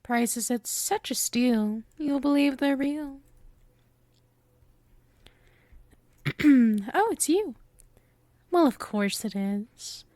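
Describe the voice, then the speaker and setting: A sweet, possessive young witch played by a woman speaks in a high, unhurried voice, very close and dry. She is coy and teasing, doting on her listener in an intimate, theatrical ASMR roleplay style. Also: lively intonation, dark tone, no echo. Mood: coy, wry.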